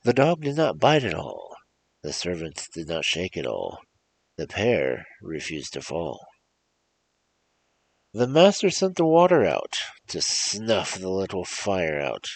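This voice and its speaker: Deep gravelly man telling stories theatrically. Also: huge pitch swings, slow pace. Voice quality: gravelly, husky. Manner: theatrical, rhythmic emphatic delivery. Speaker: storyteller, show host. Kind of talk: animated performed fairy-tale reading with casual asides.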